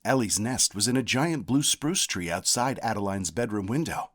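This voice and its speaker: Guy narrating a kids picture book, pitch goes up and down a lot, takes his time. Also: animated, normal speed, a bit bright. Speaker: storyteller reading a children's picture book. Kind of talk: scripted read-aloud narration.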